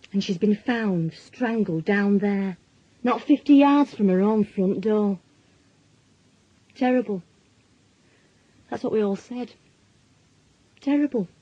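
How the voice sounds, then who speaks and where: Husky, mellow Northern English mother. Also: mid-range voice, no room sound, highs cut off. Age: middle-aged. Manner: colloquial, world-weary, confiding. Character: worried, plain-speaking working-class mother and wife. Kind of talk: naturalistic radio drama, monologue and dialogue.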